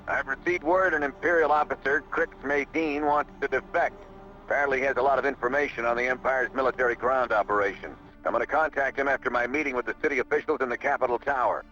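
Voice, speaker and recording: Casting: male actor as sci-fi game general, authoritative and steady, forceful delivery of mission orders, lo-fi sound. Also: mid-range voice, quick pace, lightly acted. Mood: businesslike. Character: commanding, steady rebel general briefing his pilots. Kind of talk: military mission briefing. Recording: dull lo-fi game audio, faint music behind.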